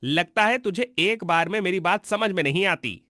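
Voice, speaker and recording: A man's voice: sits high, lively intonation, moderate pace, bright, forceful tone, dry recording, studio quality. A man voicing a cruel, hot-tempered landlord who scolds his servant in a harsh, domineering way in a cartoon story. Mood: stern, bullying. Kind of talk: Hindi cartoon story voice acting.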